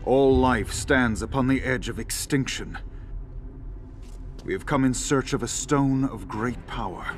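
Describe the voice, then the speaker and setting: An actor voicing a composed, commanding sorcerer in a game cutscene. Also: male voice, medium pitch, faint music behind, close to an everyday voice. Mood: grave. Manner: measured, commanding gravity. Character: stern, composed master sorcerer. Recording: game cutscene with loud score.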